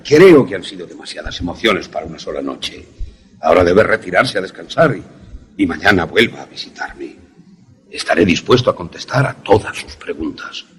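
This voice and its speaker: An actor playing a courteous, eloquent older professor and inventor in a suspense radio play, speaking formally and theatrically with small hesitant repetitions. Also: male voice, sits low, sing-song, normal speed, fairly bright tone, slightly rough, exaggerated voice. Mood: solemn. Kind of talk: Spanish-language suspense radio drama acting.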